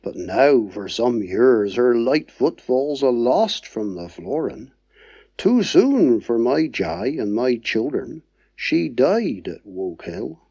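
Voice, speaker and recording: A man with a Dorset accent reciting dialect poetry in a measured, mournful way. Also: mid-range voice, very animated, warm tone, slightly rough, no echo, muffled recording.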